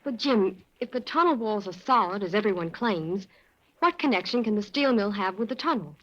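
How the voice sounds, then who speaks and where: A woman's voice: medium pitch, lively intonation, moderate pace, a bit bright. An actress playing a loyal, worried young female assistant in a 1940s radio drama. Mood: earnest. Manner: eager, worried. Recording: dull, noisy 1940s radio recording.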